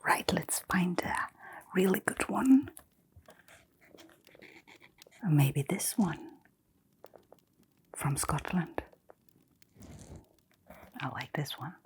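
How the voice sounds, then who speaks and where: A woman playing a kindly, mysterious keeper of a magical old bookshop in a hushed, soothing ASMR storytelling roleplay, pausing often. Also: lowish voice, expressive, slight background noise. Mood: gentle, musing. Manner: slow, hushed, soothing, with long pauses.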